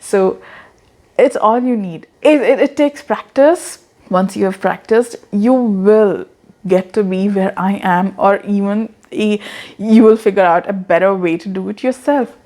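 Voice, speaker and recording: An earnest woman in her thirties, with an accent that blends Indian and American English. She speaks to the camera in an encouraging way, laughs at herself, and repeats words as she goes. Her voice sits at a middle pitch. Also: a little slow, dark tone. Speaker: online business coach. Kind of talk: casual talking-head advice.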